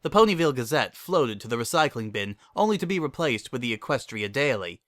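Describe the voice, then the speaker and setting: Bright, animated male story narrator. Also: medium pitch, brisk, no echo, clean studio sound. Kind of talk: scripted dramatic story narration.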